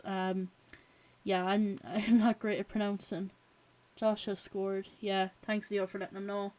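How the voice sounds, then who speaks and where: A chatty woman with an Irish accent, hosting a live stream and reacting to a match as it plays. Her voice sits at a middle pitch for a woman. She talks loosely, pads her sentences with "um" and "yeah", and stops often to thank viewers. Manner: chatty, with frequent "um" and "yeah" fillers; reads chat aloud and thanks viewers. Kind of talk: live watch-along chat and match reactions.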